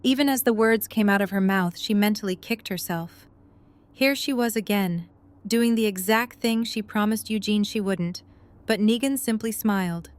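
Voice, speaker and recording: A woman's voice: medium pitch, moderate pace. A woman reading scripted third-person story narration for an audio drama. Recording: faint background noise and ambience.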